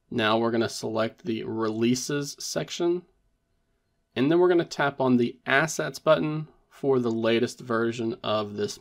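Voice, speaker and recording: A man's voice: medium pitch, gravelly. A man presenting a tech tutorial in a methodical, step-by-step instructional narration.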